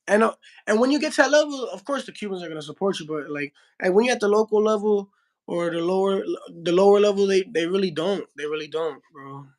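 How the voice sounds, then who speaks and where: A man's voice: sits high, fast talker. A young man from Miami who spoke Spanish first, a heavyweight boxer talking casually in an interview, saying bro a lot and restarting his sentences. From Miami, Florida. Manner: slangy, says bro a lot, frequent restarts.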